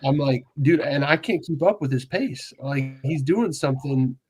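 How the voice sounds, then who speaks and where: A man's voice: medium pitch, normal speed, darkish tone, husky. A man co-hosting a casual podcast chat, easygoing and self-deprecating as he tells stories. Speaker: podcast co-host.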